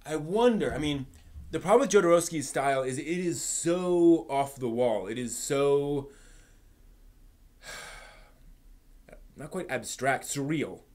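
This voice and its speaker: A man hosting a casual live stream, thinking aloud in a halting, rephrasing way while giving emphatic opinions. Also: mid-range voice. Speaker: livestream host. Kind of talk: casual live-stream chat, answering viewers' comments.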